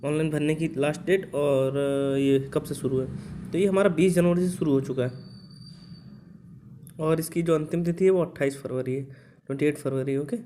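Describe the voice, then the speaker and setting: A man's voice: fairly high pitch, steady tone, normal speed, mellow. A man hosting an exam coaching lesson, informally explaining as he reads through a notice, with frequent short check-in words. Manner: conversational, checks in with repeated short tag words. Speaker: exam coaching instructor and channel host.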